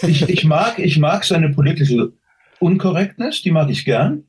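A man's voice: a little high, big pitch swings, measured pace, darkish tone, breathy edge. A man chatting casually as a podcast guest, giving frank opinions and qualifying them as he goes. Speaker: interview guest, voice actor.

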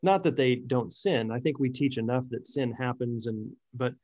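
A man's voice: medium pitch, normal speed, darkish tone. A man hosting a podcast and speaking as a pastor, reflecting aloud with some restarts. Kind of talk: conversational podcast interview. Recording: dull, muffled sound.

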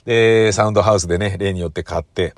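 A man's voice: low voice, fairly even intonation, fast talker, no room sound. A middle-aged man rambling casually and colloquially on his own as a host, leaning emphatically on key words. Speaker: audio enthusiast host. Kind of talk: casual solo chat.

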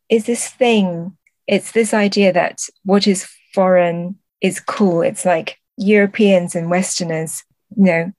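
A woman's voice: on the low side, darkish tone, no echo. A woman speaking as an interview guest, explaining her views in a thoughtful, conversational way. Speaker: podcast guest, literary translator.

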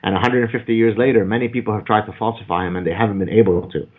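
A man lecturing with emphatic, argumentative force, his voice rising in pitch for stress. He sits on the low side and comes through a thin, telephone-like line. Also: fairly even intonation, very fast. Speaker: author and guest. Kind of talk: podcast interview, lecturing. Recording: phone-like narrow audio.

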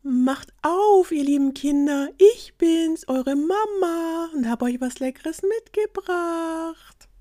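A sly storybook wolf squeaks in a much higher, sugary, coaxing voice to pass for a mother goat, as a woman puts him on. It is loud and stretched out, with a dry, close sound. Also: animated, slow, no echo, heavily acted. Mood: fake sweet. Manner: falsely sweet, coaxing, high. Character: male wolf faking a sweet mother goat voice.

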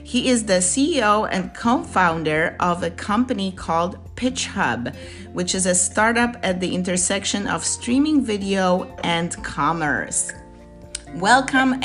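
A woman's voice: mid-range voice, expressive, a little slow, fairly bright tone, faint music behind. A woman from Poland hosting a podcast, interviewing a guest with upbeat enthusiasm. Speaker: podcast host.

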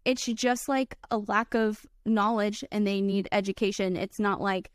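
A woman's voice: medium pitch, steady tone, unhurried, no room sound, studio quality. A woman wedding filmmaker, a podcast guest, talking in an earnest, chatty way full of "like" and "you know". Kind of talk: casual podcast chat.

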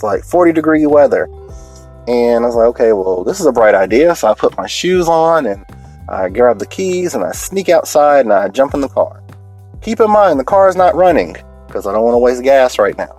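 A deep-voiced man talking alone in a late-night podcast from inside a parked car, sniffling a little as he gets over a cold. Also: a little mellow. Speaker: podcast host.

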